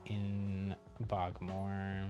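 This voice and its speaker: A man's voice: low-pitched, monotone, drawn-out words, highs cut off. A man giving casual live gameplay commentary as a streamer, thinking aloud in an easygoing way. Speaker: game streamer. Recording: game music behind the voice.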